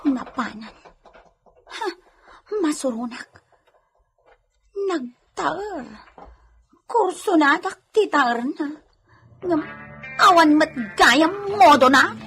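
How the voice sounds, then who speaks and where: A woman's voice: sits high, expressive, very slow, long pauses, music in the background, exaggerated voice. A woman playing a brash, nosy village servant in a radio comedy drama, muttering and exclaiming to herself. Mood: smitten, annoyed.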